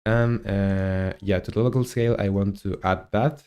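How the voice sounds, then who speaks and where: A man in his twenties with a Chilean Spanish accent, talking through his coding on a live stream, halting and thinking aloud with many fillers. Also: fairly low pitch, fairly even intonation, dark tone, clean tone. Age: 20s. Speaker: game developer streamer.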